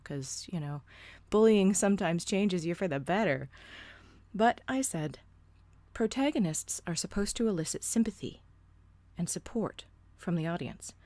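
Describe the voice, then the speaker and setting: A woman's voice: fairly low pitch, darkish tone, no echo. Blunt sardonic woman telling a story. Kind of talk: first-person storytime narration.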